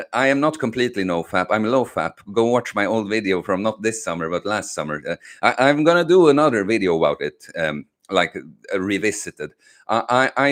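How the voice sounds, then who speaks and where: Low-voiced young man chatting on a livestream. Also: fairly bright tone. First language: Swedish. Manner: halting, many fillers and restarts. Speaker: livestream host answering chat. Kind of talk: casual livestream chat.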